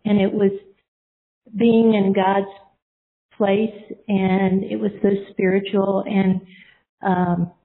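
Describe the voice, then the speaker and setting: Middle-aged woman rambling thru a heartfelt story, lots of ums and restarts, sounds like a tinny phone line. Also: medium pitch. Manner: earnest, rambling, many fillers and restarts. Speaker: person sharing a testimony. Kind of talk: personal storytelling.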